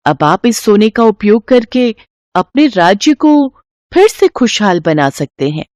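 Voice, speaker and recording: Woman playing a solemn goddess, lively intonation. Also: medium pitch, unhurried, no room sound. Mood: proclaiming. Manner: formal, declamatory, explanatory. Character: benevolent, solemn goddess revealing an old secret. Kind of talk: Hindi moral-story cartoon.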